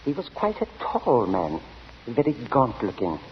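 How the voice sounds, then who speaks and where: Fussy old gent on the witness stand, voice swinging up and down, muffled hissy old radio. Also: male voice, medium pitch, huge pitch swings, a little slow. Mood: precise, certain. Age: older. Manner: fussy, precise, emphatic. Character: elderly, insistent eccentric gentleman witness at an inquest. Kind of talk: 1940s radio acting.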